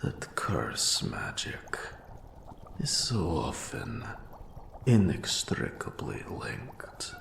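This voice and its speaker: An ancient eldritch specter speaks in an extremely low, creaking voice that often sinks into whispers. He is a scholarly fantasy mentor with formal, archaic phrasing, drawn out very slowly, in an echoing space. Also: wildly swooping pitch, a bit bright, full character voice. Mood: eerie, scholarly fascination. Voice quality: whispery, gravelly, breathy rasping gasps. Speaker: mentor to an apprentice. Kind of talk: scripted fantasy audio roleplay monologue.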